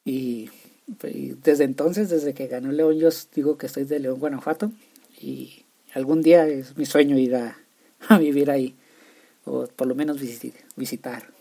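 Slow, halting man with a Chihuahua accent. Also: mid-range voice, steady tone, gravelly, dark tone. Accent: northern Mexican, Chihuahua. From Chihuahua, Mexico. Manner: halting, stumbles over words and restarts. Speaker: podcast host. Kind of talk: casual solo monologue.

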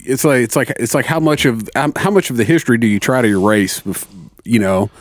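A medium-pitched man on a casual podcast panel, earnestly working his way through a point in a very dry room. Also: brisk, no echo. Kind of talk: casual discussion.

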